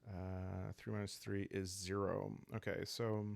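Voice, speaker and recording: A man hosting a solo roleplay game playthrough, calm and soft-spoken, improvising the story and thinking aloud as he goes. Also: sits low, measured pace, creaky, a little hiss or hum. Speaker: host playing a solo journaling roleplay game.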